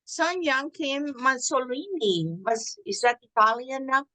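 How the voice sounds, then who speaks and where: A woman hosting a community talk show interview, halting with many fillers. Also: high voice, lively intonation, bright, forceful tone, rough recording. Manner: halting, many ums and uhs, chatty with laughs. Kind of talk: casual interview.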